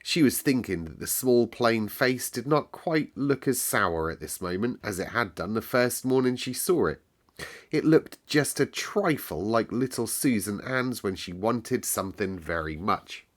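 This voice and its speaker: Lowish-voiced male storyteller, expressive. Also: a little slow. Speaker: storyteller, host. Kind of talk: expressive audiobook-style story narration.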